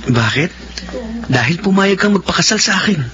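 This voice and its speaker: A man's voice: high voice, expressive, normal speed, muffled recording, music in the background. A man playing a devoted young groom in a romantic radio drama, speaking earnestly and tenderly. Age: young adult.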